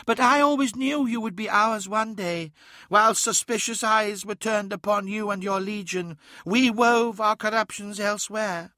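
Ancient demon god, high and taunting. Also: male voice, sharp tone, no room sound. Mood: gloating. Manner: grandiose, taunting, commanding. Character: ancient boastful demon god speaking from a shattered mirror.

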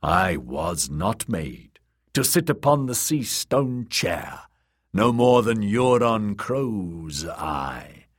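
A man's voice: lowish voice, very animated, very slow, full character voice. A man voicing a bony, fervent priest-prophet, solemn and commanding, preaching in a fantasy audiobook. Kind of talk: dramatised audiobook character voice.

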